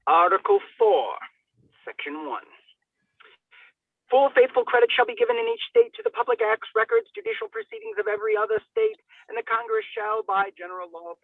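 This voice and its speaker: A man's voice: high voice, no echo. A man giving a hammy, theatrical dramatic reading, stumbling over words and dropping in comic asides. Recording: thin phone-like band-limited sound.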